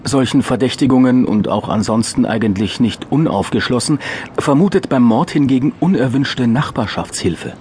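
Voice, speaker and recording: A male actor plays a wry narrator in a satirical police radio play. He speaks with an Austrian accent at a middle pitch, in dry, officious, bureaucratic phrasing. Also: normal speed, darkish tone, slight background noise, natural voice. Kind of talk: scripted crime audio drama narration.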